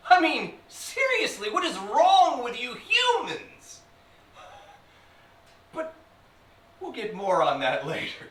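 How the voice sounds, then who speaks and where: A man's voice: a little high, exaggerated voice. A man playing a sly, taunting demon in a theatrical, sinister monologue spoken to the viewer. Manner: slow deliberate delivery with sinister chuckles and sudden swells. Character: sly, taunting male demon possessing a human, theatrical vlogger. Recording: roomy, distant mic with faint noise.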